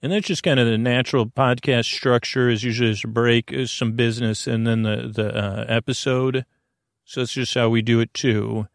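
Creaky-voiced man rambling on a sleep podcast. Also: mid-range voice, fairly even intonation, no room sound, studio quality. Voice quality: creaky, gravelly. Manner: meandering, halting, digressive, with trailing self-corrections; whimsical, mildly absurd, apologetic. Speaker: podcast host.

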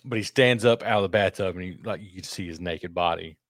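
A man with a deep baritone voice co-hosting a podcast, chatting casually in loose, joking, profane banter. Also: brisk, no room sound, studio quality. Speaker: podcast co-host. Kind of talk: casual chat over beers.

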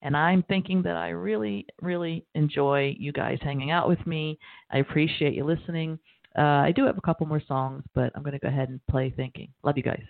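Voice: medium pitch, fairly even intonation, a little fast, creaky, dry recording. A radio host raised in Baltimore, chatting loosely and rambling between songs on a music show. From New Orleans, grew up in Baltimore. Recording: dry, tinny phone-like sound.

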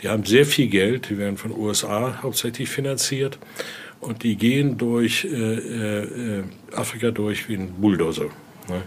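A man giving an informal, halting explanation as a guide, often ending phrases with little questioning tags. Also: lowish voice, a little hiss or hum. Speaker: interviewee, exhibit guide and Voodoo expert. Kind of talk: informal spoken explanation during a guided tour.

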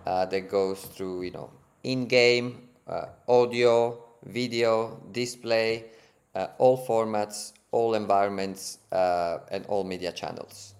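A man's voice: lowish voice, fairly even intonation, takes it slowly, creaky. A man in his thirties with an Italian accent, a guest on a podcast interview, explaining at length with restarts and fillers. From Italy. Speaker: guest, ad tech co-founder.

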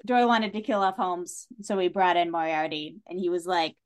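A woman's voice: lowish voice, moderate pace, clean tone. A woman talking casually as a podcast guest, chatty and opinionated about stories, often putting emphasis on her points. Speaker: podcast guest, writer and director. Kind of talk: casual literary discussion.

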